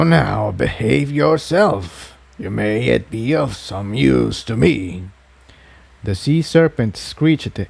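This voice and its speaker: A man reading an adventure story aloud as an expressive scripted narrator. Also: mid-range voice, no room sound. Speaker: fan fiction reader.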